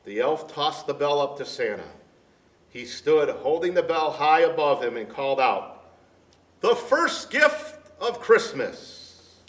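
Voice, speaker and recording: Slow-reading male storyteller in an echoey room. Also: fairly high pitch. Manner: deliberate, dramatic, addressing children directly. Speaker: storyteller dressed as Santa Claus, reading to children. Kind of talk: picture-book read-aloud. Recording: echoey room, distant mic, faint noise.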